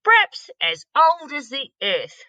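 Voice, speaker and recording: A woman's voice: high voice, slow, dry recording, pitched above the performer's own voice, full character voice. A woman voicing a huge, very old, kindly male giant who mangles his grammar, read aloud from a storybook. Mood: storytelling. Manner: muddled grammar, lively storybook delivery.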